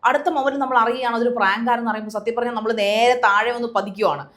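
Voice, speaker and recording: A woman's voice: a little high, steady tone, fast, fairly bright tone. A woman giving conversational, emphatic commentary as a discussion panelist, mixing in English words.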